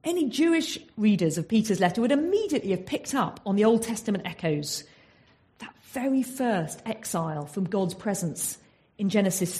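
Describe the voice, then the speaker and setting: A woman's voice: medium pitch, warm tone. An English woman giving a Bible talk in an earnest teaching manner, often asking her listeners rhetorical questions. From England. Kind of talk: sermon.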